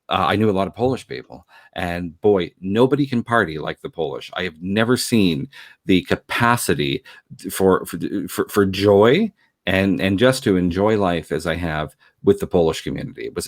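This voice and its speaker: A man's voice: low voice, animated, moderate pace, no room sound, clean studio sound. A man from Canada chatting casually in an effusive, rambling way, often repeating words as he goes. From Canada, grew up in Montreal. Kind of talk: casual conversational chat.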